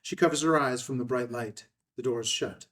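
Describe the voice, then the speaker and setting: A man's voice: medium pitch, a little fast, a bit bright, far from the mic. Man narrating screenplay, slightly off-mic. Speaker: stage directions narrator. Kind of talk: scripted narration at a table read.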